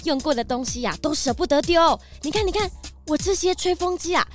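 A proud, thrifty villager in a children's story. Her voice is high and very lively, chatty and boastful, close and dry with faint music behind. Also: a little fast. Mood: proud, defensive. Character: eager, proudly thrifty townswoman. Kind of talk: children's audio drama acting.

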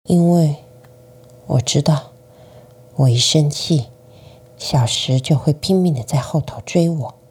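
Woman doing a fed-up schoolboy older brother for radio drama, low n raspy, kinda dark tone. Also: slow pace, pauses often, heavily acted. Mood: tense inner monologue. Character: exasperated schoolboy big brother scolding his little brother. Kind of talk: radio-drama style acting.

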